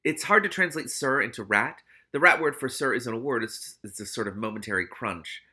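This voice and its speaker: A man reading a story aloud in an animated way, stumbling now and then and breaking off to comment casually. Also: medium pitch, fairly quick, breathy edge. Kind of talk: audiobook-style read-aloud with casual reactions.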